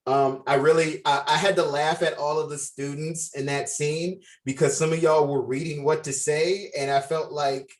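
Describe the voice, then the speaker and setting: A man's voice: on the high side, steady tone, normal speed, hardly any pauses. A man talking casually and appreciatively to a group on a video call, leading the meeting. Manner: encouraging, appreciative. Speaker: film project organizer leading a table read. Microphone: video call microphone.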